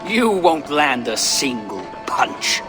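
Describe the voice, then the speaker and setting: An actor playing a defiant, cocky seventeen-year-old Viking prince in a video game, brash and sarcastic. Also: male voice, mid-range voice, very animated, slow pace, loud music behind the voice. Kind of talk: video game cutscene acting.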